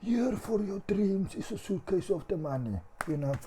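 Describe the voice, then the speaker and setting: A man doing a whispery, mellow mafia godfather. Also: high-pitched, expressive, normal speed, slight background noise, pitched above the performer's own voice. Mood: benevolent. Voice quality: hushed, breathy whisper. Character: mafia-boss father figure, older man, grand and benevolent.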